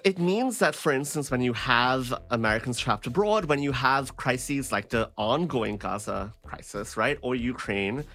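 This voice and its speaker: A man's voice: mid-range voice, expressive, unhurried, few pauses, pushed and bright. A man speaking as a journalist on a panel, explaining things analytically and often checking in with tag questions. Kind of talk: analytical spoken commentary.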